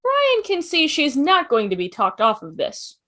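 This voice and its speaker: A woman's voice: sits high, huge pitch swings, unhurried, pushed and bright. A woman reading scripted stage directions as narrator of a table read.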